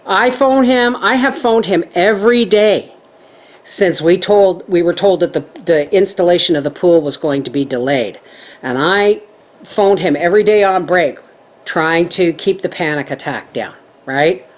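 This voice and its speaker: A middle-aged woman chatting to her viewers as a homestead vlogger, telling stories in a lively way. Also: fairly low pitch, unhurried. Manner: chatty, animated storytelling. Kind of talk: casual chat to viewers.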